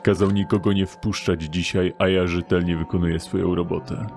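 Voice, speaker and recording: A man's voice: low voice, flat delivery, brisk, a little mellow. An actor playing a stocky, sly thug of a doorman in an audio drama, sardonic and offhand. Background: background music.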